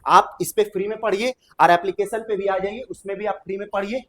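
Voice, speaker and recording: A man's voice: high voice, fairly even intonation, fairly quick. A man with a Bihari accent giving an informal exam-coaching lecture as a teacher, emphatic and often repeating himself. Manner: emphatic, repetitive, with colloquial tag phrases.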